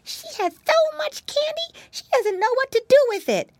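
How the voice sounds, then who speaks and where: A woman voicing an excitable, polite little boy piglet in a storybook, eager and exclamatory. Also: very high voice, normal speed, no room sound, pitched above the performer's own voice. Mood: wondering.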